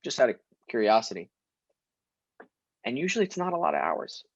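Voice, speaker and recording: Young man, born in Syria, mid-pitched, casual and emphatic, laughing often, dry room. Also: very animated, a little fast, creaky, no echo, muffled recording. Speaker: guest actor. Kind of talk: casual interview chat.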